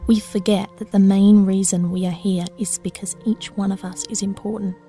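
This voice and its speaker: A woman's voice: medium pitch, level tone, moderate pace, mellow, soft background music, lightly acted. A young woman playing an earnest, idealistic, old-souled girl with formal wording in an audio drama. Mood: earnest, reflective. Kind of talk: scripted audio drama dialogue.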